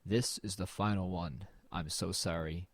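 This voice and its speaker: Man playing an uneasy, deep-voiced horror narrator. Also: fairly even intonation, measured pace, dry recording. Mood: grave, ominous. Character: uneasy male narrator exploring a dead man's house. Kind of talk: first-person horror audio drama narration.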